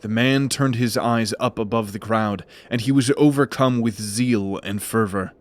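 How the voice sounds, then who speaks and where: A man narrating a scripted fantasy audio drama in a measured, dramatic storytelling manner. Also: fairly low pitch.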